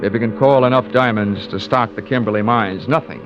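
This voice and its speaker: A man's voice: fairly low pitch, brisk, natural voice. An actor playing a wry, wisecracking American insurance investigator who narrates his case in an old radio drama. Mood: wry, dramatic. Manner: wry, dry-humoured. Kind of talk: 1950s radio detective narration. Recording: lo-fi old radio broadcast, music behind.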